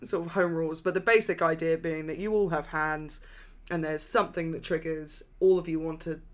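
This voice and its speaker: A woman co-hosting a podcast from her flat, rambling through funny stories and laughing often. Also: low voice, a little fast. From England. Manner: giggly, rambling storyteller. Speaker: podcast co-host. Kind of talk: casual chat. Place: home flat.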